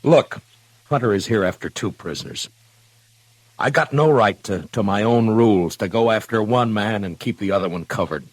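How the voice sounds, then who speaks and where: An actor playing an honest, conflicted western lawman, gruff and laconic, in 1950s radio drama style. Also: on the low side, normal speed, dry recording, close to an everyday voice. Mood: troubled. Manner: laconic, probing, plainspoken. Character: stern but conflicted, honest frontier lawman. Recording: vintage radio recording.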